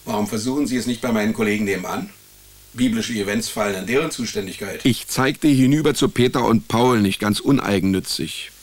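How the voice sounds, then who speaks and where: A man's voice: lowish voice, fairly quick, no echo, close to an everyday voice. An actor playing a wry, world-weary male private detective, narrating a radio drama in a dry, ironic, laconic manner. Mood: sardonic. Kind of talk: radio drama first-person noir narration and dialogue.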